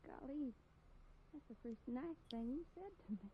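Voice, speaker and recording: An actress playing a blunt, rough-spoken tomboy woman of the frontier in a 1950s radio drama. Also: sits high, normal speed, natural voice. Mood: awkward. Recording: muffled old radio recording with hiss.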